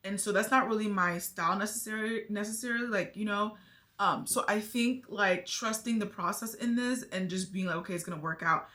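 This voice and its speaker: A woman's voice: medium pitch, a little fast, bright, forceful tone. A young woman chatting casually to her viewers while doing her makeup, thinking aloud as she goes. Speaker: beauty vlogger. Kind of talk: casual makeup tutorial chat.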